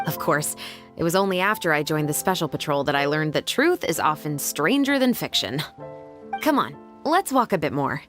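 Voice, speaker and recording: A woman playing a resolute, composed young patrol officer in a video game, speaking in an earnest, measured, formal way. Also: low-pitched, very animated, a bit bright. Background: background music.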